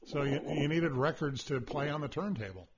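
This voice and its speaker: A man hosting a casual radio chat show, talking loudly and rambling with stammered restarts and a husky voice. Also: fairly high pitch, moderate pace, noisy background. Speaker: radio host. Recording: dull lo-fi radio broadcast.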